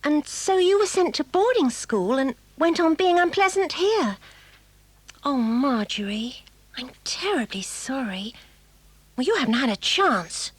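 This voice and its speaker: A woman playing a warm-hearted, bossy schoolgirl with an English accent in a children's audio drama. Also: sits high, lively intonation, measured pace, no echo. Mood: sympathetic. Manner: earnest, plucky, jolly schoolgirl talk. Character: warm-hearted, bossy, breezy boarding-school girl, a twin.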